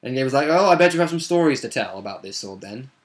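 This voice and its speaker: Casual male storyteller, a tabletop player retelling a session off the cuff, halting and self-correcting. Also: mid-range voice, animated. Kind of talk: casual unscripted game recap.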